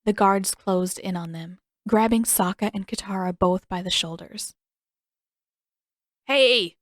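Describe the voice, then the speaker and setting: A woman narrating her own fan fiction as an audiobook, in even, steady storytelling. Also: mid-range voice, moderate pace, no room sound, clean studio sound.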